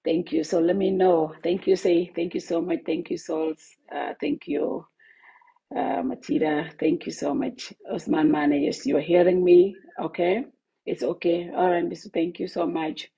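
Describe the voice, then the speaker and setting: A woman with a low, husky, gravelly voice hosts a live stream from her office over a Bluetooth headset. Her tone is dark and mellow, and her speech is halting, full of fillers and restarts. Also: poor audio. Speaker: host of an online news network. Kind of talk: casual live-stream address to followers.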